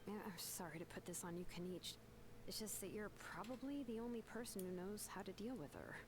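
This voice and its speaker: A woman's voice: medium pitch, brisk, natural voice. Woman voicing an earnest young game heroine, noisy backdrop. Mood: apologetic. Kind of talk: scripted video game voice acting.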